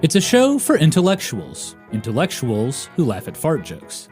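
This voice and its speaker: A man's voice: on the high side, huge pitch swings, moderate pace, darkish tone, soft background music. A man hosting a podcast, reading a scripted promotional spot.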